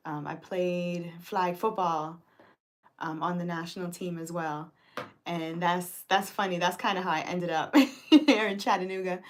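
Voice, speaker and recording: A woman in her thirties, from Cuba and raised in the Caribbean, talks easily about her life and work. Her voice sits on the low side, and she breaks into laughter often, with plenty of ums along the way. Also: fairly even intonation, measured pace, a little hiss or hum. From Cuba, raised in Grand Cayman. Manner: laughs often, many fillers. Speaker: guest, business owner. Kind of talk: casual interview chat. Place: radio studio.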